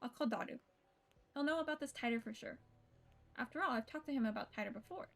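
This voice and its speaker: A woman's voice: fairly high pitch, fast talker, gravelly. A woman streamer reading a visual novel's narration aloud live. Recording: music under the voice. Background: game music.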